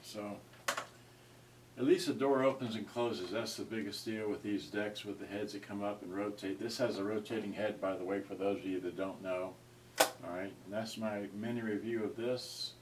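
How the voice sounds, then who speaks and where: A man giving a casual, unscripted gadget review in a halting, rambling way, often trailing off mid-thought. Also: on the low side, steady tone. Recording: distant mic with clear noise and clicks.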